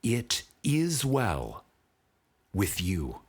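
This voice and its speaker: Slow, husky man reading a spiritual audiobook. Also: fairly low pitch, huge pitch swings. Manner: solemn, measured, with long pauses. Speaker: audiobook narrator.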